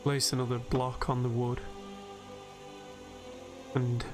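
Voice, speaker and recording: Slow, even-toned man guiding sleep meditation. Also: medium pitch, over music. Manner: soothing, unhurried, gentle. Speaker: host and narrator of a game meditation video. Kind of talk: guided sleep meditation commentary over gameplay. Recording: steady background noise, game nature ambience. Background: game ambience of river and birdsong.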